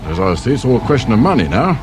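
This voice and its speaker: An actor playing a brusque, world-weary detective inspector with a British accent in a radio drama. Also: male voice, mid-range voice, sing-song, very fast, lightly acted. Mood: grumbling, cynical. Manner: sardonic, brusque, grumbling. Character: gruff, cynical, world-weary male police detective inspector. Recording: faint noise, old radio drama.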